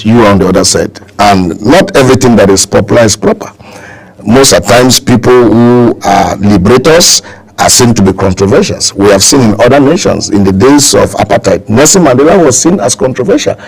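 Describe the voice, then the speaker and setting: A man's voice: on the low side, expressive, fairly quick, gravelly, rough recording. A man from Cameroon with a Cameroonian English accent, speaking as a political guest in a broadcast interview, emphatic and oratorical, repeating phrases for effect.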